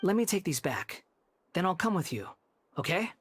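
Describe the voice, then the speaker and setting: A man voicing a polite, guileless young wanderer, soft-spoken and unassuming, in a video game. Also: high-pitched, sing-song, normal speed, over loud music. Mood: earnest, polite.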